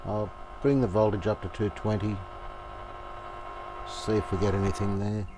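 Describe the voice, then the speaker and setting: A man giving casual, unhurried commentary as he works, thinking aloud. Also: lowish voice, flat delivery. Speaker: host, radio restorer. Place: small cluttered indoor workshop. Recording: clear background noise, home workshop.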